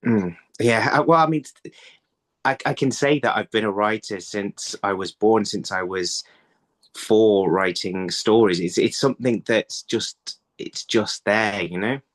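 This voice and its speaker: Low-voiced man, halting interview talk. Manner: halting, thinking aloud, repeats words. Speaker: writer being interviewed. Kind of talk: conversational interview answers. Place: indoor room, video call. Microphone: phone on a video call.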